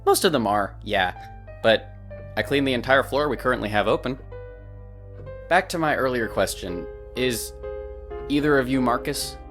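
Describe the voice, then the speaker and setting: A man voices a hotel clerk for a visual novel. He has a mid-pitched voice and a theatrical, long-winded, affable way of talking. Faint music sits underneath. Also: animated, normal speed, pauses often, fairly bright tone, close to an everyday voice. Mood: businesslike. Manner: wordy, dramatic, chatty.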